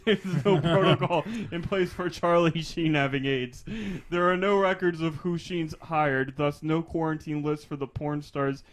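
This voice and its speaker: A man's voice: a little high, steady tone, normal speed, few pauses. A man co-hosting a casual comedy chat, retelling news stories and giggling as he reads aloud. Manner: giggly, breaks into laughter while reading aloud. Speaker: podcast co-host.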